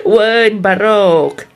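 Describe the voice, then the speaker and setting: A woman's voice: medium pitch, exaggerated voice. A woman playing a teasing, gossipy aunt in an Ilocano audio drama. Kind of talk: Ilocano radio-style drama acting.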